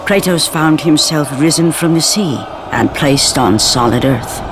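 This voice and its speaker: A man's voice: fairly high pitch, measured pace, noisy background. A man narrating a video game story in a grave, scripted epic style. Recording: game audio with loud score.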